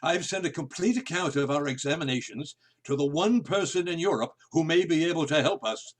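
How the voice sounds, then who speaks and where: An actor playing an earnest, skeptical physician in a radio play, speaking emphatically. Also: male voice, a little high, a little fast, natural voice. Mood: troubled.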